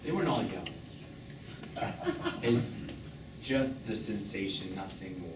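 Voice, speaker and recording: A defensive, dismissive man in his fifties, speaking in a mid-range voice with lively swings in pitch. He answers curtly, as a cheating lover in a stage play. The sound is echoey with clear background noise. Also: takes it slowly. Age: 50s. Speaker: stage actor. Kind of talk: live stage drama acting. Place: small theatre, recorded from the audience. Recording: echoey, noisy stage recording from far off.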